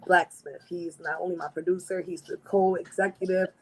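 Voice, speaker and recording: A woman artist with a raspy voice, answering interview questions in a confident, emphatic way. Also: lowish voice, little pitch movement, normal speed, a crowd in the background, poor audio. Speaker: guest artist.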